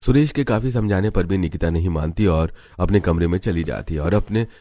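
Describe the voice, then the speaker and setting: A man's voice: low-pitched, fairly quick, warm tone. A man reading scripted narration for a family story.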